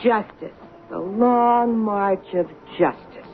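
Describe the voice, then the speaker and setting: An actress playing an obsessed, righteous waitress in a 1970s radio drama, solemn and moralizing with a frantic, unhinged edge. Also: female voice, medium pitch, takes it slowly. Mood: fixated, solemn. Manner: earnest, solemn moralizing that rises into frantic protest. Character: obsessed soap-opera fan waitress, righteous, fervent, unhinged. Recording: muffled, noisy old radio broadcast.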